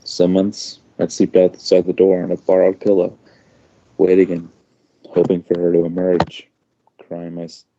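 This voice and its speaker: A man reading a first-person story aloud informally, halting now and then with loose asides. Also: low voice, completely flat delivery, dark tone, slight background noise. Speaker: amateur fan fiction reader. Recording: dull lo-fi home recording.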